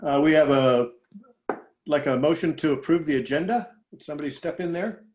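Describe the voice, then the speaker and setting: A man with a slightly raised voice runs a school board meeting on a video call, pausing often with ums and uhs. He moves through motions and seconds in a plain, procedural way. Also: fairly high pitch, a little mellow, echoey room. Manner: hesitant, many fillers. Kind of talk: chairing a meeting. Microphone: home microphone on a video call.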